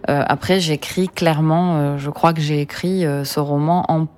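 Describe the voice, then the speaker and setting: Low-voiced woman, a poet explaining. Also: fairly even intonation, normal speed, a little mellow, clean tone. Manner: thoughtful, precise, uses fillers. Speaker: poet and novelist, guest. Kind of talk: conversational interview answer.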